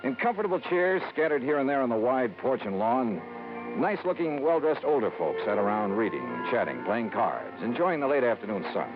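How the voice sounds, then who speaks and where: An actor playing a hard-boiled, wry American insurance investigator, matter-of-fact and assured, in 1950s radio noir style. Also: male voice, medium pitch, big pitch swings, a little fast, over music, close to an everyday voice. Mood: descriptive, calm. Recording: dull old radio recording.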